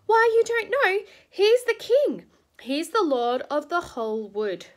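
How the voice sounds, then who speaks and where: A woman voicing a gloomy, kindly male beaver in a storybook reading, speaking slow and grave. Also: fairly high pitch, sharp tone. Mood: earnest.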